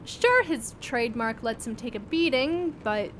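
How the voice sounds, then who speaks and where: A doctor in an audio drama, high-pitched and hesitant. She pleads with guarded hope. A faint rumble runs behind her. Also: lively intonation, unhurried, a bit bright. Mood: hesitant, pleading. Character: hesitant, hopeful woman doctor with a secret.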